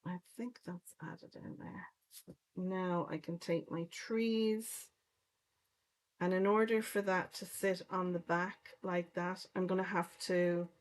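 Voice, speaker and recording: A middle-aged woman from County Clare with a soft Irish accent, chatting casually while she works through a card-making livestream. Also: fairly low pitch, flat delivery, slow pace. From Ireland, County Clare. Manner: chatty, explains each step while working. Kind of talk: casual crafting livestream chat.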